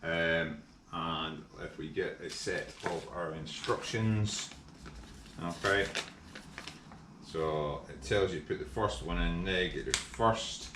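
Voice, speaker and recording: A middle-aged Scottish man casually explaining a kit installation in a workshop, relaxed and full of ums. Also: low-pitched, slow. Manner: relaxed, hesitant with frequent ums. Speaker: workshop vlogger. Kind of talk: casual how-to demonstration. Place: large indoor workshop. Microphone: camera mic from overhead. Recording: echoey workshop with faint hum.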